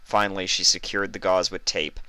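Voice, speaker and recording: A man's voice: low voice, little pitch movement, a little fast, pushed and bright, clean tone, no room sound. A man reading fan fiction aloud as scripted narration.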